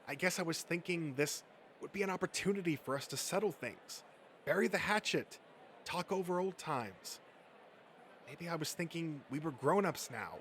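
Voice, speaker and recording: Man playing anxious, placating nerd, lightly high-pitched. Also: a bit bright, slight background noise, natural voice. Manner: pleading, conciliatory, hesitant. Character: anxious placating adult male nerd, eager peacemaker. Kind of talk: comic dub character acting.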